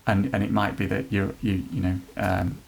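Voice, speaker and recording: A man who leads an NHS trust's inclusion work, answering podcast questions in an earnest, halting way with many restarts. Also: sits low, flat delivery, vocal fry, husky. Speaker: NHS trust leader chairing an inclusion council.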